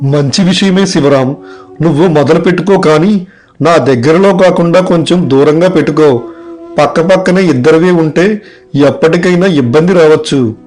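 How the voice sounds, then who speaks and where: A man playing a direct, plain-spoken village man in an animated moral story. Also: on the high side, moderate pace, faint music behind, lightly acted. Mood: advising. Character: plain-spoken village men, eatery customers and boss.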